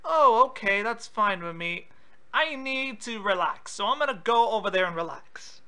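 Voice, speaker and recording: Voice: mid-range voice, expressive, normal speed, bright, forceful tone, clear, resonant tone. A prank caller on a phone call, confrontational and insistent with questions.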